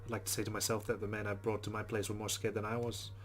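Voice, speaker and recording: A man's voice: fairly low pitch, flat delivery, quick pace, close to an everyday voice. A man reading the first-person thoughts of a rueful young Irish woman in a visual novel, with a put-on Irish accent.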